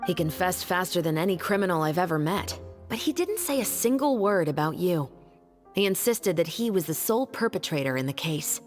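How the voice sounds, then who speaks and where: An actor, a woman, playing a stern, principled young police officer in a video game, speaking in a measured, authoritative way. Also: on the low side, expressive, darkish tone, soft background music, natural voice. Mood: confident, explaining. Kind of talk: dramatic video game voice acting.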